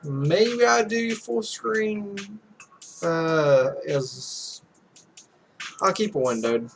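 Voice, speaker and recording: Higher-voiced man, casual gaming host. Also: lively intonation, extremely slow, gravelly, soft background music. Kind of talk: casual let's play, reading visual novel text aloud.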